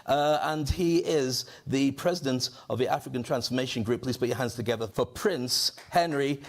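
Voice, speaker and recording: A man's voice: mid-range voice, moderate pace. A man hosting a conference stage and coaching on public speaking, joking and rousing the audience with a handheld microphone. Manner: jokey, animated, audience-rousing. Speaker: event host and speaking coach. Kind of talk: energetic stage presenting.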